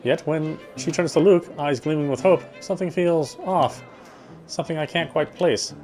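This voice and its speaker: A man's voice: fairly high pitch, moderate pace, a little mellow. A man reading visual novel narration aloud, expressively, with occasional stumbles over words. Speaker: game streamer. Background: game music.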